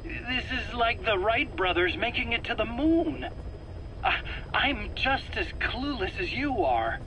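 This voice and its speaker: A man's voice: very high voice, big pitch swings, a little slow, pushed and bright, full character voice, poor audio. A man playing a defensive, rambling weapons scientist under interrogation in a video game, hesitant and prone to stammering as he explains. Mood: baffled, agitated. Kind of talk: dramatic video game voice acting. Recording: faint background noise on cassette recording.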